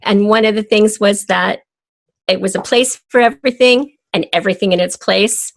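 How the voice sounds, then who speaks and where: A woman being interviewed as an audio drama creator, explaining her ideas in a measured, deliberate way. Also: mid-range voice, creaky, no room sound.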